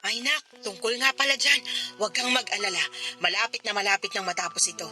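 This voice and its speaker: A woman's voice: medium pitch, fast talker, music in the background, close to an everyday voice. A woman playing a casual, expectant middle-aged mother on a phone call in a Tagalog radio drama. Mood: reassuring, expectant.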